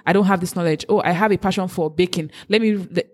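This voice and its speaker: A woman's voice: lowish voice, steady tone, fast talker. A woman from Africa in a casual chat, giving earnest, faith-driven encouragement. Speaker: co-host giving advice.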